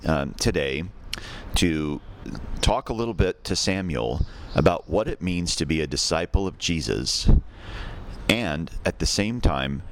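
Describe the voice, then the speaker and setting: Very deep-voiced male podcast host. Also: slight background noise. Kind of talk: conversational spoken introduction and interview.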